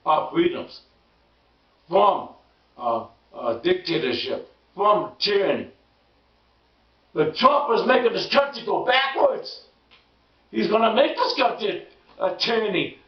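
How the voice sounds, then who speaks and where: A hoarse man who stammers and repeats words, giving an emphatic casual political monologue. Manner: emphatic, rising pitch when indignant. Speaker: political commentary vlogger. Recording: roomy distant lo-fi sound.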